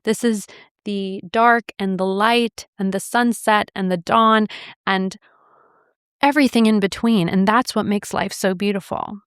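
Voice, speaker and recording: A woman's voice: medium pitch, fairly even intonation, a little slow, clear, resonant tone, no echo, studio quality. A young adult woman hosting a podcast, telling a personal story in an earnest, reflective way with light laughs. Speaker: podcast host.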